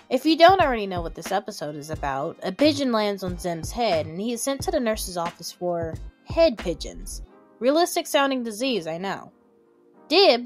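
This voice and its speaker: A young adult woman narrating an informal, opinionated video commentary with emphatic asides. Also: medium pitch. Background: background music.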